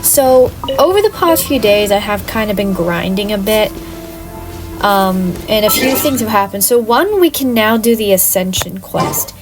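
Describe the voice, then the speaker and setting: A woman's voice: mid-range voice, lively intonation, unhurried. A woman with a sick, sore-throated voice talks casually through a video game. She stops and restarts her sentences often, with plenty of ums. Game music keeps playing underneath her commentary. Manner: hesitant, with many ums and trailing restarts. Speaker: gaming channel host. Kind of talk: casual let's-play commentary.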